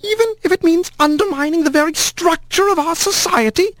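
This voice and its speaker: A man's voice: very high voice, brisk, fairly bright tone, no room sound, pitched above the performer's own voice. A man voicing a bitter, sour old female mouse who leads her clan, talking with cold contempt. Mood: scornful.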